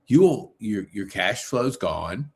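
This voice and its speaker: A man hosting a casual interview, rephrasing his questions in a halting, emphatic way. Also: lowish voice, lively intonation, a little mellow, slightly rough. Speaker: interview host.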